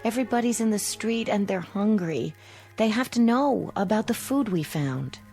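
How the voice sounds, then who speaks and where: A woman's voice: medium pitch, animated, moderate pace, mellow, faint music behind, close to an everyday voice. An actress playing a worried, insistent survivor woman, pressing her point in a dramatic radio play. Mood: urgent, pleading.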